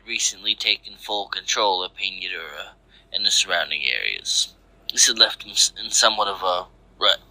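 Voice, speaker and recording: A man's voice: deep voice, lively intonation, normal speed, pushed and bright, no echo, rough recording. A man reading scripted action fiction aloud as a storyteller. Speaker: story narrator. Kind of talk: scripted narration of fan fiction.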